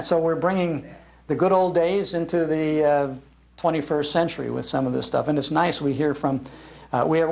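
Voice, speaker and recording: A man's voice: a little high, vocal fry. An American man in his sixties, a radio host giving an informal talk to an audience. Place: public library meeting room.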